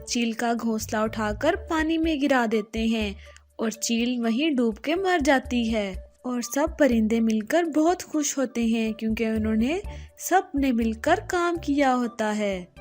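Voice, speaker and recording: A woman's voice: fairly high pitch, a little mellow. A woman reading scripted narration for a children's cartoon fable. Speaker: story narrator.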